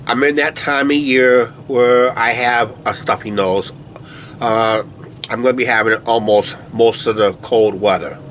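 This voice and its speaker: A man's voice: medium pitch, steady tone, unhurried, fairly bright tone, a little hiss or hum. Stuffy-nosed man rambling outdoors. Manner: meandering, filler-heavy, "uh, basically" talk. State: stuffy blocked nose. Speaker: co-host of a vlog channel. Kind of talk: casual rambling chat over a beer. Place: outdoors at night near a shed. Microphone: camera on a tripod.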